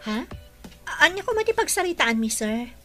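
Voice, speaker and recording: A man's voice: very high-pitched, sing-song, normal speed, pushed and bright, soft background music, pitched above the performer's own voice, heavily acted. A man voicing a timid young housemaid who answers meekly and deferentially in a radio drama. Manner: meek, deferential, calls others sir.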